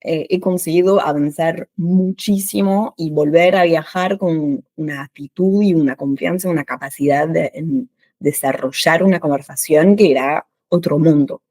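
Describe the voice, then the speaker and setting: A woman in her thirties from France, a French teacher who speaks Spanish with an Argentine accent in a casual chat, lively and laughing often. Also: sits low, no echo. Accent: Argentine Spanish with a French background. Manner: lively, laughs often, quick bursts of speech.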